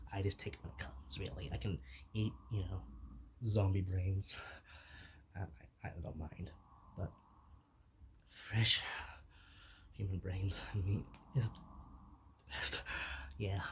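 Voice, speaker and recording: A man playing a shy, soft-natured zombie in an ASMR roleplay, speaking softly with stammers and apologetic hesitation. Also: lowish voice, slight background noise, lightly acted. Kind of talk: whispered ASMR roleplay addressed to the listener.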